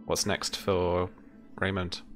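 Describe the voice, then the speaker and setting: A man's voice: low-pitched, completely flat delivery, slow pace, fairly bright tone. A young man running a tabletop game as game master, narrating and talking rules in a casual, hesitant way. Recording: music behind the voice.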